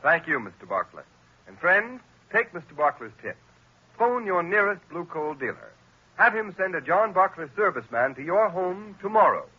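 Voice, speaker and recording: A man's voice: high-pitched, very animated, moderate pace, slight background noise. Formal old-time radio announcer man. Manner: crisp, formal announcer delivery. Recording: dull lo-fi old radio recording.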